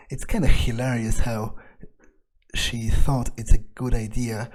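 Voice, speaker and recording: A man's voice: medium pitch, little pitch movement, slow pace, darkish tone. A man doing in-character gaming commentary as a cynical vampire, thinking aloud in a hesitant, dryly sarcastic, sweary way. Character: cynical, sardonic, foul-mouthed male vampire. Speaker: let's player speaking in character.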